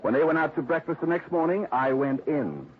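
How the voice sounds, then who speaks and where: A man's voice: fairly high pitch, sing-song, fairly quick. An actor playing a callous, self-justifying publicity man in a 1950s radio drama, explaining himself defensively. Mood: callous, resigned. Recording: tinny, narrowband old radio recording.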